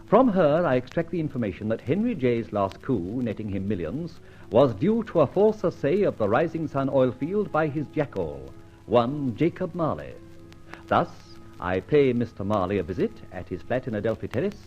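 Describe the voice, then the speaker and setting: An actor playing a suave, witty British gentleman outlaw in an old radio detective drama, wordy and mock-courteous. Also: medium pitch, very animated, moderate pace, lo-fi sound, faint music behind, lightly acted. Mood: wry, narrating.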